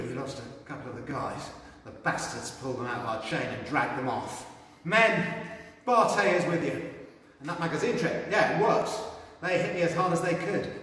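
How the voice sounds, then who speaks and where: An actor playing a rowdy young football fan who swears freely and brags about street fights. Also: male voice, medium pitch, a bit bright, close to an everyday voice. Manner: profane, boastful storytelling. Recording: echoey hall from far off.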